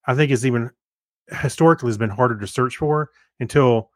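A man's voice: mid-range voice, brisk, dry recording, clean studio sound. A middle-aged man co-hosting a podcast, chatting casually and telling personal anecdotes. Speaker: podcast co-host.

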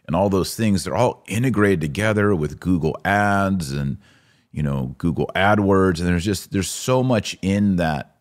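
A man hosting a live-stream podcast, giving casual, conversational commentary in halting phrases with repeats and rhetorical questions. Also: a bass voice.

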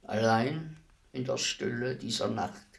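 A gravelly old-school hangman in a radio drama, really slow n brooding, wistful, kinda respectful. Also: medium pitch. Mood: quiet, grave. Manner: deliberate, brooding, formally addressing a lady. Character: world-weary executioner, wistful, respectful, philosophical about dying. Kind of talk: radio play acting, slow reflective monologue.

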